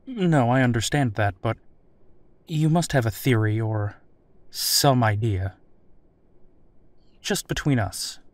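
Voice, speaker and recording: A man playing a calm, courteous military captain conducting an interview in an audio drama, conversational and slightly hesitant. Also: medium pitch, takes it slowly, long pauses, no room sound, close to an everyday voice. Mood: probing, patient.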